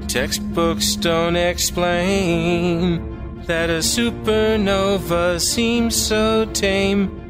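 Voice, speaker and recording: A man plays a starship commander in a sci-fi audio drama musical. He is smitten and takes his time with his words, over loud music. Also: high voice, little pitch movement, drawn-out words. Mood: elated singing. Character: smitten male starship officer, a commanding superior. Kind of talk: fan audio drama acting with musical singing.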